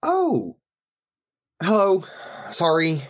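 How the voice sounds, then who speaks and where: A man's voice: high voice, big pitch swings, drawn-out words, heavily acted. A man acting in an audio play as an eager new colleague, chatty, polite and apologetic. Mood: eager, friendly.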